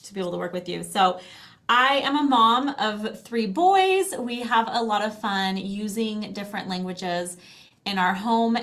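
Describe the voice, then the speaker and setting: A woman's voice: medium pitch, a bit bright. A woman speaking as an interview guest, explaining things conversationally with acted-out quotes and frequent tag questions. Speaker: interview guest, company founder.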